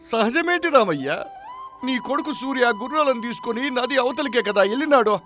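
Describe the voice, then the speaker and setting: Male actor as worrying rustic villager, high voice, blunt, lamenting, folk story, light music. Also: animated, brisk, fairly bright tone. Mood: alarmed. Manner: rustic dialect, exclamatory, lamenting. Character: agitated, blunt village man, alarmist worrying neighbour. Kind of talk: moral-story dramatization.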